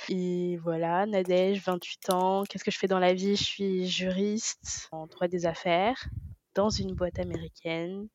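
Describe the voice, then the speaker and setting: A woman in her twenties from Benin, co-hosting a podcast in relaxed casual chat, her sentences trailing off softly. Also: fairly low pitch, unhurried, dry recording, muffled recording. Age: 20s. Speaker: podcast co-host.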